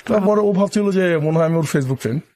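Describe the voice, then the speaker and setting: A young man with a Bangladeshi accent telling a personal story casually as a guest, halting and colloquial, mixing in English words. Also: high voice, a little mellow, dry recording. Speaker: interview guest.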